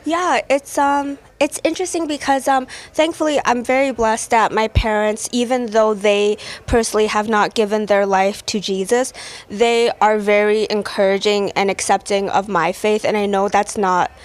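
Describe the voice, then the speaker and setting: A woman's voice: medium pitch, steady tone, normal speed. Earnest young woman guest. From China. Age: 20s. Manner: earnest, thoughtful, many ums and likes. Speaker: podcast guest. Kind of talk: casual conversation.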